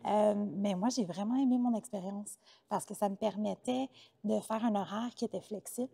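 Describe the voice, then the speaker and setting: Québécois woman, 30s, mellow, chatty interview guest, hesitant delivery. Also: mid-range voice, moderate pace, gravelly. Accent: Québécois French. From Quebec, Canada. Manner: hesitant, many filler sounds, warm and talkative. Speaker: interview guest, former teacher turned entrepreneur. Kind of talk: casual interview conversation.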